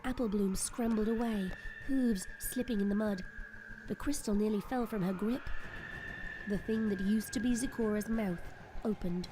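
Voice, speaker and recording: A woman giving dramatic scripted story narration in a measured, suspenseful manner. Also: mid-range voice, noisy background.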